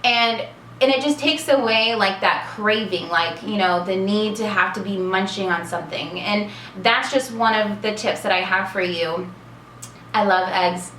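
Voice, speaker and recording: A woman's voice: medium pitch, measured pace, a bit bright. A woman in her twenties chatting casually in a vlog, bubbly and full of fillers. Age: 20s. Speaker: vlogger. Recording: echoey room with faint hiss.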